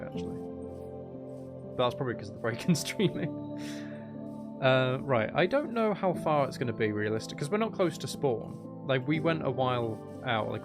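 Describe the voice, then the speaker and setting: Animated man chatting through a game livestream. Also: medium pitch. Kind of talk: casual live gameplay commentary, chatting with viewers.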